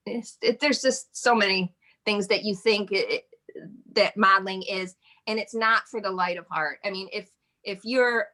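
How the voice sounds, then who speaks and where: A woman's voice: mid-range voice, pushed and bright. A woman in her forties, guest in a casual interview, talking in a chatty, rambling way with frequent laughs. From Michigan. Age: 40s. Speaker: interview guest, modeling agency owner.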